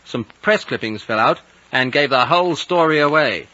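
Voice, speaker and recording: A man's voice: mid-range voice, animated, moderate pace, pushed and bright. An actor playing a hot-blooded, eager young man in a 1950s radio drama, blunt and boyish. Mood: insistent, accusing. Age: young adult. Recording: dull 1950 radio recording.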